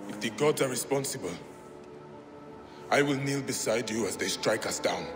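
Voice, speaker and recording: An actor playing a resolute Medjay warrior of ancient Egypt in a video game, terse and grave. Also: male voice, mid-range voice, moderate pace, lightly acted. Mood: grave resolve. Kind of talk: dramatic video game voice acting. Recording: echoing room under very loud music.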